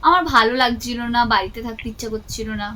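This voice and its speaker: A woman's voice: a little high, quick pace, pushed and bright. A young adult woman, chatting casually and insistently on the phone as a vlogger. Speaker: vlogger making a prank call. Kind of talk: casual phone chat with a friend. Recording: faint background noise.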